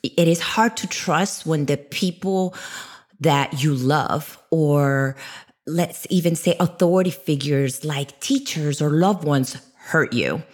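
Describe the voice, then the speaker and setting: A woman's voice: deep voice, slow, slightly rough. A woman co-hosting a podcast, speaking in an earnest, reflective, motivational way.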